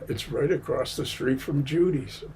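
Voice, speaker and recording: A man in his seventies from Massachusetts, giving an oral history interview in a library, unhurried and full of hesitations. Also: mid-range voice, a little mellow, slight background noise. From Massachusetts, USA. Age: 70s. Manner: unhurried, many hesitations and fillers. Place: public library.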